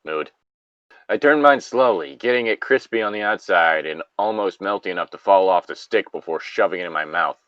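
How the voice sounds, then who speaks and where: A man bantering casually and reading a story aloud haltingly, recorded on a slightly dull mic. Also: low voice, no echo.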